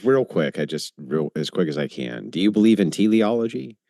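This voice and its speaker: A man with a low voice debating an opponent. He drives the exchange with insistent follow-up questions, and his pitch rises and falls a lot. The sound is close and dry. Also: big pitch swings, vocal fry, dark tone, no room sound. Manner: presses with pointed, rapid follow-up questions. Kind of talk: live debate.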